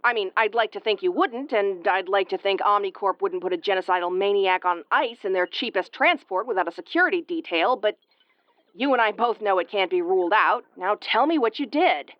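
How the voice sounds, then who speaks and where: A woman's voice: mid-range voice, a little fast, no echo, muffled recording, lightly acted. Woman acting a brusque tomboy starship commander, close and dry. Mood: shocked, worried. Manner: brusque, stammering when flustered. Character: tough tomboyish tsundere woman starship commander, prickly but caring. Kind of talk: intimate audio roleplay acting.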